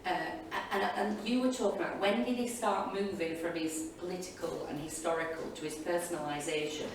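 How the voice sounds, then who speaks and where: A woman's voice: fairly low pitch, steady tone, fairly quick. A woman speaks as a panelist in a noisy, heavily reverberant hall, some way from the mic. Her delivery is informal and enthusiastic, wandering from point to point like a teacher thinking aloud. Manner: chatty, enthusiastic, digressive. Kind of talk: informal spoken reflections to an audience. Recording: echoey hall, distant mic, loud room noise.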